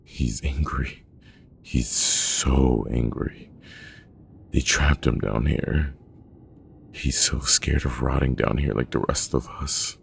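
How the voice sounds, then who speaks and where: A man's voice: extremely low pitch, fairly even intonation, slow, vocal fry, a little hiss or hum, heavily acted. A man playing a frantic, broken trapped man in a horror audio log, crying and stammering as he pleads. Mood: frantic fear. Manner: stammering, pleading, repeating words. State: crying, panicking.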